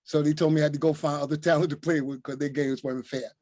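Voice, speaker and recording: An older man from Newark reminisces in a relaxed, unhurried way and keeps checking in with "you know what I'm saying." His voice sits a little high for a man. The sound is dry and close, with no room around it. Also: no room sound. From Newark, New Jersey. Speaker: retired coach, guest. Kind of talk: relaxed storytelling.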